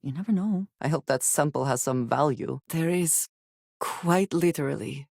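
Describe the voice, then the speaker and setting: An actress voicing a guarded, earnest young woman space explorer in a video game, speaking in formal, measured phrases with few contractions. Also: deep voice, gravelly, darkish tone, dry recording, clean studio sound. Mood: measured, curious.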